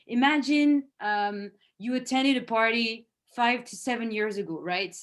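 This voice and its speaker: A woman, a head of sales, giving an earnest presentation over a video call. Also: fairly high pitch. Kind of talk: virtual presentation. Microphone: video call microphone.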